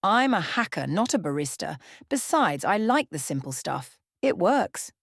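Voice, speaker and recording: A woman's voice: lowish voice, big pitch swings, a little slow, vocal fry, no echo, studio quality, full character voice. A woman voicing an easygoing, amused male hacker in a bedtime story, with a wry manner.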